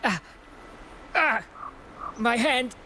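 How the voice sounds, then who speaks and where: A woman's voice: fairly high pitch, lively intonation, very slow, sharp tone, slight background noise, heavily acted. A woman playing an injured pilgrim in a video game, gasping in pain.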